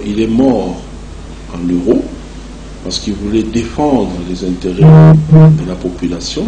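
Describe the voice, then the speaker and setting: A man with a Congolese accent giving long, measured and halting answers as a guest in a television interview. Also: fairly low pitch. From Democratic Republic of the Congo. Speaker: interview guest, entrepreneur and association president. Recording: echoey room, clear background noise.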